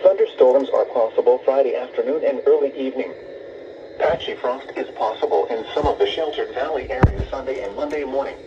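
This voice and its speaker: A man's voice: medium pitch, fairly quick. A man reading a scripted weather outlook as a radio announcer in a formal, impersonal way. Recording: dull, distant, faintly noisy radio broadcast.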